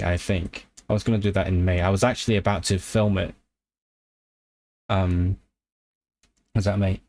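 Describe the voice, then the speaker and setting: A man, casually chatting on a livestream and answering viewers in a rambling way. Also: fairly low pitch. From Hong Kong. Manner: rambling, with fillers and self-corrections. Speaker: language YouTuber on a livestream.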